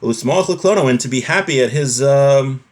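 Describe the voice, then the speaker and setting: A man's voice: medium pitch, slow. Male religion teacher, mid-range voice. Informal text lesson, moves between Hebrew quotation and plain explanation, checking in with questions.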